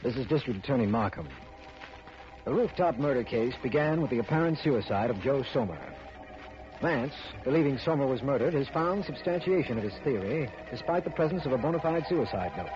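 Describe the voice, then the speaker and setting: A patient district attorney played by a man, formal and dryly wry. His mid-range voice has lively intonation and comes through a muffled, noisy 1940s radio recording. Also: medium pitch, brisk, faint music behind, natural voice. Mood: measured, official. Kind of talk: 1940s radio drama acting and narration.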